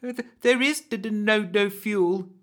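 A man's voice: very high voice, sing-song, a little slow, pushed and bright, pitched above the performer's own voice, full character voice. A man voicing a nervous young woman adventurer who stammers and stutters, in a storybook reading. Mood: frightened.

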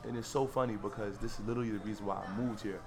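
A man's voice: on the low side, fairly even intonation, very fast. A man in his late twenties from Florida, hosting a podcast and telling stories in a loose, rambling way with lots of fillers. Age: 20s. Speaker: podcast host. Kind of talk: casual podcast chat.

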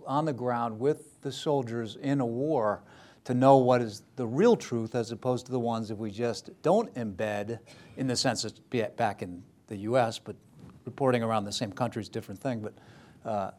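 A man speaking as a war journalist on a panel, reflecting in a halting way and repeating phrases as he thinks. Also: medium pitch, darkish tone. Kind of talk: reflective remarks in a panel discussion.

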